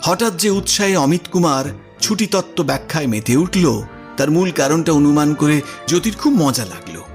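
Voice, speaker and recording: Male storyteller, lively intonation, literary scripted narration over faint music. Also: on the high side, normal speed.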